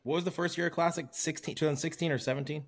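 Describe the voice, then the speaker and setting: A man in his mid-thirties co-hosting a casual chat, easygoing and quick to agree. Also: on the high side, steady tone. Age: 30s. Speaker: podcast co-host.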